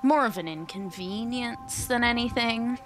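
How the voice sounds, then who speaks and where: A woman's voice: fairly high pitch, measured pace, music in the background. A woman playing a tabletop game, bantering casually in a dry, understated way.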